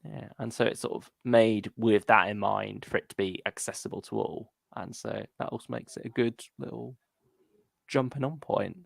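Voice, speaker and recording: A man's voice: lowish voice, fairly even intonation, moderate pace, creaky, no room sound. A man co-hosting a podcast, chatting casually and a little hesitantly about fan topics and listener comments. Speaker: podcast co-host.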